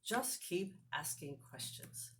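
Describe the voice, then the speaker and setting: A woman voicing a kind, patient male teacher in a children's storybook, speaking in a deliberate, reassuring way. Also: lowish voice, animated, a bit bright, slight background noise. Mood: gentle, encouraging.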